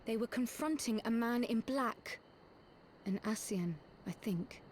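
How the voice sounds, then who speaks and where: A woman's voice: fairly high pitch, measured pace, darkish tone, slight background noise, close to an everyday voice. A woman playing a thoughtful young adventurer in a fantasy video game. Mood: hesitant.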